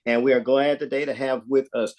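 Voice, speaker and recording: A man hosting a community live show, relaxed and rambling as he interviews a guest. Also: medium pitch, brisk. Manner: relaxed, rambling, with many fillers.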